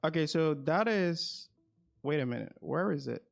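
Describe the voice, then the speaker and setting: Higher-pitched man thinking aloud on stream. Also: expressive, normal speed, vocal fry, faint music behind. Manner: repeats phrases while thinking aloud. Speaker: streamer and game guide host. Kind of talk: casual gameplay commentary with asides to viewers.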